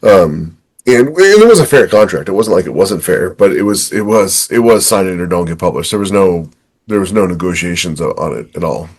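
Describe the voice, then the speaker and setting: A man co-hosting a podcast, talking in a casual, anecdotal and somewhat halting way. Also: sits low, darkish tone, breathy edge. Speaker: podcast co-host and author.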